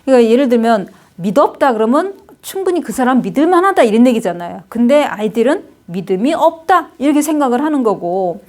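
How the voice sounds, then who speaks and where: Middle-aged lady teaching, kinda high voice, rambly, lots of "right?" tags. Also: sing-song, brisk, few pauses, a little mellow. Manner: chatty, lots of fillers and tag questions. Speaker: Hanja lecturer. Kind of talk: casual spoken lecture.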